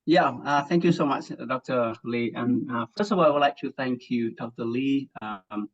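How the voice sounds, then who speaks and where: A man from Vietnam giving an academic webinar talk, halting with many fillers and restarts and frequent throat clearing. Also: mid-range voice, dull audio. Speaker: guest speaker, assistant professor.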